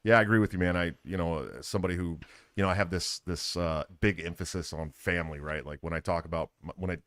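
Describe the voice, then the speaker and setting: Deep-voiced American male podcast host. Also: fairly even intonation, quick pace, fairly bright tone, clear, resonant tone, no room sound. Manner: earnest, informal. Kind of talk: casual interview chat. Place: live at a political convention.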